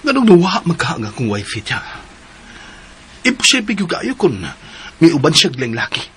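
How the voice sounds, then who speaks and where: A man's voice: on the high side, huge pitch swings, normal speed, a little hiss or hum. An actor playing a determined husband searching for his missing wife in a radio drama. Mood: earnest. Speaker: nephew.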